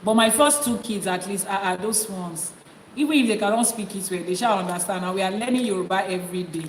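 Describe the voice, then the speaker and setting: A woman's voice: mid-range voice, fast. A woman, teaching viewers on a live stream as an Ifá priestess, coaxing them with repeated questions and breaking into rhythmic chanted invocations. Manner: rhythmic, rising chant in long litanies. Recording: echoey reverberant room with background noise.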